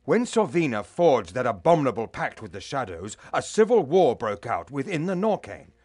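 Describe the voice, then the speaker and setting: An actor playing a grave, honourable veteran warrior commander in a fantasy game, with a formal manner. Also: male voice, mid-range voice, very animated, moderate pace, a little mellow, slightly rough, dry recording, faint music behind. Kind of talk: fantasy game cutscene acting.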